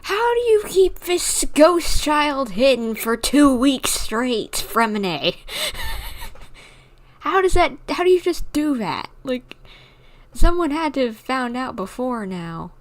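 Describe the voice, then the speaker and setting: A woman's voice: high voice, huge pitch swings, slow. A woman giving casual live gameplay commentary, full of mild, folksy exclamations. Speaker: game streamer.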